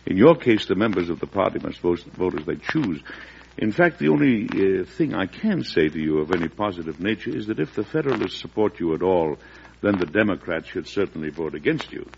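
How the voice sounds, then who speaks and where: An actor playing a measured, principled American president in an old radio drama, speaking frankly and deliberately. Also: male voice, low voice, very animated, gravelly, a little mellow, exaggerated voice. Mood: frank, firm. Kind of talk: 1940s-50s radio drama acting and narration. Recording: dull, noisy old radio recording.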